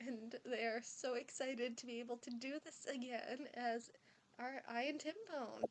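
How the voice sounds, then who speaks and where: A woman's voice: on the high side, fairly even intonation, moderate pace, background noise. A woman presenter giving a scripted welcome to introduce an audio play.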